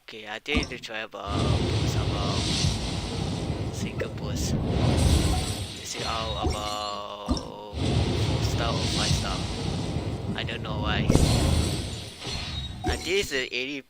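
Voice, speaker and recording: A male game streamer speaking halting non-native English in casual live commentary over a windy, uncovered microphone. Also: lowish voice, fairly even intonation, over loud music. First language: not English. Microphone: microphone without its cover.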